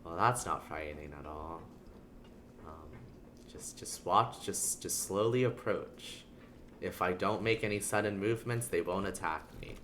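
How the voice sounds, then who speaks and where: Low-pitched male streamer with playful sarcasm. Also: unhurried, a bit bright, slight background noise. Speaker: game streamer. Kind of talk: casual livestream gameplay commentary, reading game text aloud.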